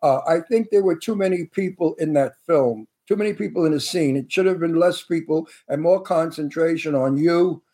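A man's voice: fairly high pitch, mellow. A man in his eighties hosting a radio talk show, chatty and prone to repeating himself. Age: 80s. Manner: chatty, repeats himself, quick-tempered asides. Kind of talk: casual talk show banter.

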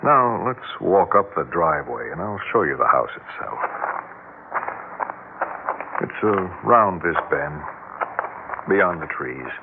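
A man's voice: deep voice, very animated, slow, creaky, long pauses, full character voice. An actor playing an affable realtor who narrates in a folksy salesman's patter, in a 1960s radio drama. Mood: genial, musing. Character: affable older-style realtor showing a country house, narrating. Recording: noisy, narrow-band old 1960s radio recording.

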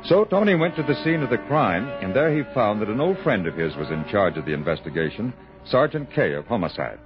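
A man's voice: on the low side, big pitch swings, brisk. A man giving dramatic scripted narration for a 1960 radio thriller. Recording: dull vintage radio recording. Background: music.

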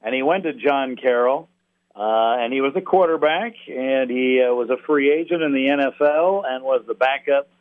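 A middle-aged sports announcer is heard over a narrow, tinny phone line. His voice is mid-pitched, and he talks conversationally as a guest, in a halting, wry way. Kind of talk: conversational interview. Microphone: telephone.

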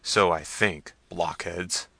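A man's voice: low voice, fairly even intonation, takes it slowly, vocal fry, bright, forceful tone, no echo, full character voice. A man voicing a hot-tempered, commanding prince in a gothic novel reading, barking impatient questions and orders.

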